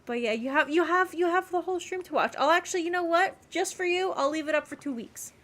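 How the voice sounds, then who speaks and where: A woman game streamer chatting casually to her viewers in a rambling, animated way with emphatic repeats. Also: very high voice, fast. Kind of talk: casual livestream chat to viewers.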